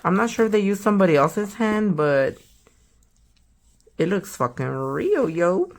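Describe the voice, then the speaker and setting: A young woman hosting a nail channel, chatting casually and slangily while unboxing, a little hoarse from a sore throat. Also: mid-range voice, big pitch swings, a little slow. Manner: chatty, slangy, trailing off to a whisper. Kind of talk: casual product unboxing chat.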